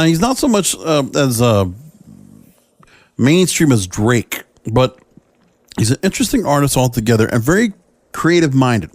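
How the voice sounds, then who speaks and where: A man speaking as a podcast host, giving solo commentary in halting, short phrases. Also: on the high side, darkish tone, no echo.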